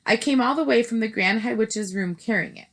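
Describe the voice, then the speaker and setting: A woman's voice: on the high side, fairly quick, fairly bright tone, voice pushed up. A woman voicing a clever young boy who has been turned into a mouse, speaking eagerly in a storybook read-aloud.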